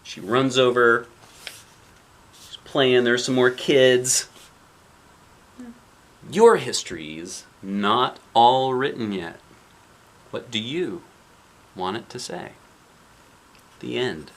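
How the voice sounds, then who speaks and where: A man with a medium-pitched voice reads a children's book aloud. He is expressive, leaning on important lines, and slips into casual explanations for young listeners. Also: slow pace, long pauses. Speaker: picture book reader, dad.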